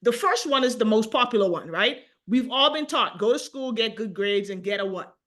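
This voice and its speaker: A woman with a Bahamian accent, giving an energetic, persuasive sales pitch on a video call and asking her audience direct questions. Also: moderate pace. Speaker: sales presenter.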